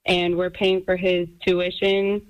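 A woman's voice: fairly low pitch, completely flat delivery, takes it slowly. A woman in her early thirties calling in to a radio show, speaking frankly and with firm opinions. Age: early 30s. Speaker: caller. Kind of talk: phone-in chat. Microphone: phone line. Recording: muffled phone-line caller audio.

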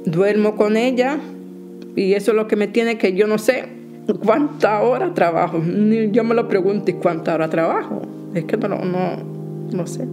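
A woman in her sixties with a Dominican Spanish accent, giving halting interview testimony full of restarts. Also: medium pitch, music in the background. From Dominican Republic. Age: 60s. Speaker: interviewee, domestic worker.

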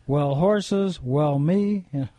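A hoarse man co-hosting a radio show, chatting in an earnest, apologetic way. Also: fairly high pitch, very slow, crowd noise. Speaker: radio co-host. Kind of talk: casual radio chat.

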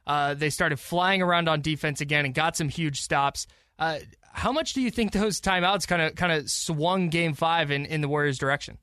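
A male sports radio host with a slightly high voice, recorded close and dry. He interviews conversationally, setting up his questions in plain talk. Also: normal speed, bright, forceful tone, smooth, no echo.

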